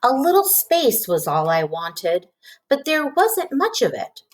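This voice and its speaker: A woman reading a children's storybook aloud in an expressive narrating style. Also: high voice, unhurried, slight background noise. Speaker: storybook reader.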